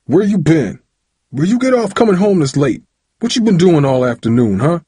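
Man acting a furious, domineering dad, pitched up and loud. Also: sing-song, moderate pace, slightly rough, dry recording, clean studio sound. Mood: furious, demanding. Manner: shouting, interrogating, colloquial and threatening. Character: angry, domineering father of a teenage boy. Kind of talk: audiobook character voice.

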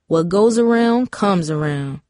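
A woman playing a sassy, gossipy teenage girl in a storybook, chatty and brisk. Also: mid-range voice, lively intonation, dark tone, dry recording, clean studio sound, close to an everyday voice.

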